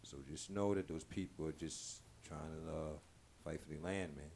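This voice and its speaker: A man's voice: extremely low pitch, steady tone, moderate pace, darkish tone. A man speaking as a guest on a talk show, in casual, earnest, informal talk. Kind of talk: casual chat. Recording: clearly noisy live-stream room sound.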